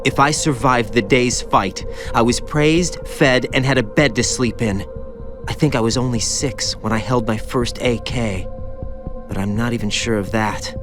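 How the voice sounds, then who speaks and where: An actor playing a haunted young soldier and former child soldier, bitter and guilt-ridden, in an anguished video game cutscene. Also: male voice, mid-range voice, a little slow, close to an everyday voice. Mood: bitter confession. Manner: anguished, confessional, brooding. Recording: music behind the voice.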